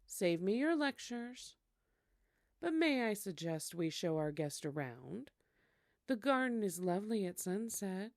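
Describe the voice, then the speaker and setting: A close, dry, unhurried voice, a woman playing a seductive vampire in an audio drama. She is coy and teasing, wrapping flirtation in elegant, mock-polite courtesy. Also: lowish voice, a little mellow, dry recording. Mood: playful, coy. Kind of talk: scripted ASMR audio roleplay acting.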